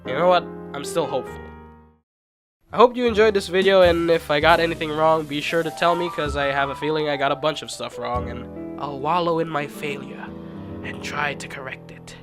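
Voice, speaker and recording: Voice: medium pitch, a little fast. A video essayist narrating in a casual, informal and self-deprecating way, as if reading a loose script. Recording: music playing behind the voice. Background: background music.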